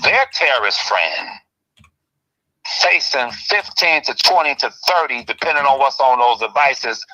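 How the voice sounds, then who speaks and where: A man hosting a heated call-in talk show, ranting with passion and swinging between loud outbursts and low, pressing remarks. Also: animated, measured pace, dull audio. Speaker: commentary show host.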